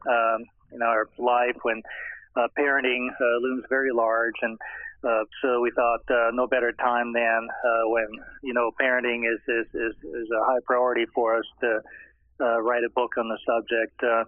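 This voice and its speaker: A middle-aged man, answering interview questions in a hesitant way with many pauses and restarts. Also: mid-range voice, little pitch movement, no echo. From Austria. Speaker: guest scholar. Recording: tinny phone line.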